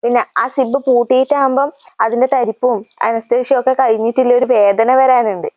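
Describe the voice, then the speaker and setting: A woman acting as a pregnant young mother with a Kannur accent, high-voiced and very quick. She answers back with sharp sarcasm, in comedy sketch style. The audio is slightly dull. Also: fairly even intonation, close to an everyday voice. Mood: exasperated, sarcastic. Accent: Kannur, north Kerala. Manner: sarcastic retorts.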